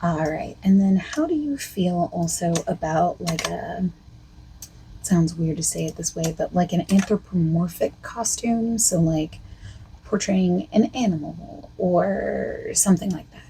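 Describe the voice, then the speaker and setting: A woman speaking softly and partly in whispers as a costume consultant in an ASMR roleplay, with a hesitant, filler-heavy way of talking. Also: a little slow, gravelly, slight background noise, low-quality recording. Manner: soft-spoken, drifting between whisper and low voice. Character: female costume consultant asking the listener questions.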